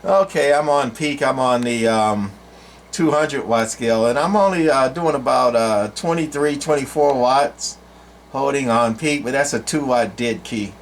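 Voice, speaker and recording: Casting: older male, mid-pitched, folksy halting rambler doing an informal gear demo, noisy indoor recording. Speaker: presenter, CB radio collector. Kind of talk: casual unscripted equipment demo.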